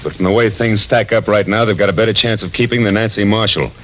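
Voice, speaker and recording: An actor playing a wry, world-weary American private detective in 1940s radio noir style, clipped and wisecracking. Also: male voice, lowish voice, steady tone, quick pace, a bit bright, a little hiss or hum, lightly acted. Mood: brisk, businesslike. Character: hard-boiled, wry male private detective. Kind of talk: 1940s radio noir acting, narration and dialogue. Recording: muffled vintage radio recording with music.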